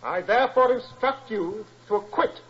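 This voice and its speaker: An actor playing a stern courtroom judge with a formal, declaratory delivery in a 1940s radio drama. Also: male voice, high-pitched, normal speed, fairly bright tone. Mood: solemn. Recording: muffled 1940s radio recording.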